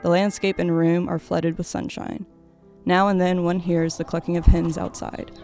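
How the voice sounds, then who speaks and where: A woman's voice: on the low side, flat delivery, normal speed, a little mellow, faint music behind. A woman reading scripted stage directions as the narrator of an audio play.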